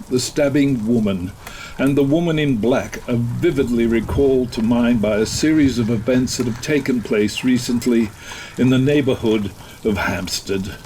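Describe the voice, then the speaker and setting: A male actor plays a formal newspaper man with a medium-pitched voice. He reads a report in a measured, serious radio-play manner, with faint sizzling noise behind. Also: a little mellow, lightly acted, poor audio. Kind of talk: scripted news reading in audio drama.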